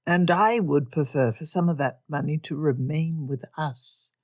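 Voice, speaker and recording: A woman voicing a weary father with dry, deadpan wit. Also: low-pitched, unhurried, dry recording. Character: weary dry-witted father of five daughters.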